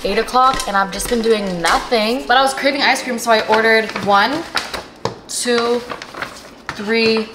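A woman's voice: fairly high pitch, unhurried, background noise. A nineteen-year-old woman talking casually to camera as a vlogger, candid and slangy with some swearing. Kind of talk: casual chatty vlog to camera.

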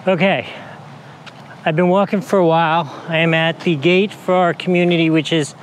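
A man's voice: a little high, steady tone, normal speed. An American man in his forties giving a casual, unscripted product review to camera, recorded outdoors on a lapel mic. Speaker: product reviewer, video host. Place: mostly outdoors in a driveway and street. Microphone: clip-on lapel mic. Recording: clear outdoor background noise, passing vehicles.

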